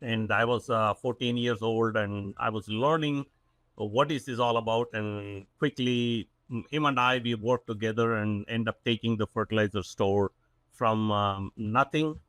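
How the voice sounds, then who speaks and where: A man's voice: lowish voice, steady tone, measured pace, a bit bright, no echo, poor audio. A man in his forties from South India with a South Indian accent, a company CEO talking earnestly as a podcast guest, with many fillers and restarts. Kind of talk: casual interview talk.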